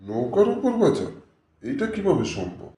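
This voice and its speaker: Man acting a cartoon village boy, sceptical yet helpful, animated intonation, reverberant. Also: medium pitch, moderate pace, a little hiss or hum. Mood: doubtful.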